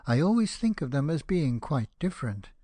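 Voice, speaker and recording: A man's voice: a little high, very animated, normal speed, warm tone, no echo, voice pushed up. A man voicing a pompous, self-obsessed firework rocket in a storybook, declaiming with indignation and self-pity. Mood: indignant. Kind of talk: dramatized storybook reading.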